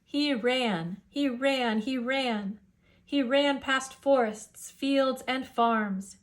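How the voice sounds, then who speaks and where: A woman reading a rhyming children's picture book aloud in measured, expressive storytelling. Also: high-pitched.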